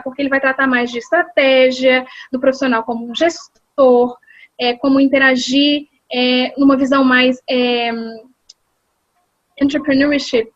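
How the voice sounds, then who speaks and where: High-voiced Brazilian woman, dry lo-fi call. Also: a bit bright, no room sound. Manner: thoughtful, many fillers and restarts. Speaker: executive secretary and English teacher. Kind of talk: interview answers.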